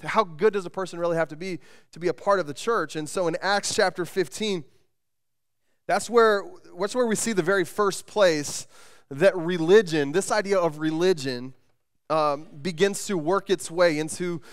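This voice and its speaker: Guy pastor preaching on a church stage, bit high voice, smooth, lots of "and, and" restarts. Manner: conversational, lots of repeated words and restarts. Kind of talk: sermon. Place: church stage with band gear, indoors.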